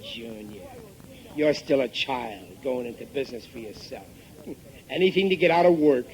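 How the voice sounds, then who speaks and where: A man's voice: medium pitch, moderate pace, a little mellow, lightly acted, low-quality recording. An actor playing a blustering, scheming middle-aged working-class American father in 1950s radio comedy, mangling big words. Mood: scornful. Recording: old-time radio recording from tape.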